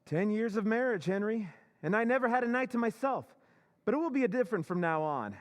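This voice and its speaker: A man's voice: high voice, lively intonation, a little fast. A man playing a once-timid husband with a secret scheme, speaking in a sly, conspiratorial way in a radio drama recreation. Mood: giddy. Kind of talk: old-time radio drama acting.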